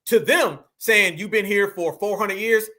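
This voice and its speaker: Emphatic high-voiced man commenting on clips. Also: a little slow, sharp tone. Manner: emphatic, addresses viewers as family. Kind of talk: casual reaction commentary.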